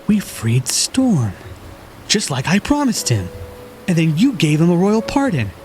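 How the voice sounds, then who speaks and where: A man's voice: a little high, huge pitch swings, measured pace, a little mellow, a little hiss or hum. An actor playing a modest, earnest young male hero in an audio drama. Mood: fond recollection.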